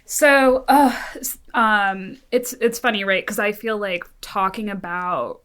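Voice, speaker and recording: A woman's voice: mid-range voice, takes it slowly, a bit bright, clean tone. A woman in her thirties, a podcast guest, talking casually and filling her speech with "like" and "right?". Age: 30s. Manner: chatty, lots of "like" and "right?".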